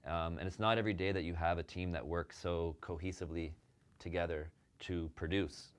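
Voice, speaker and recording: A man's voice: deep voice, fairly even intonation, a little fast. A man hosting an interview, speaking casually and praising his guest with many fillers. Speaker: show host and agency owner.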